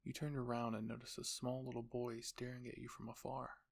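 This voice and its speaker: Casting: male storyteller, mid-pitched, gravelly edge, scripted second-person narration for audio roleplay. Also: completely flat delivery, brisk.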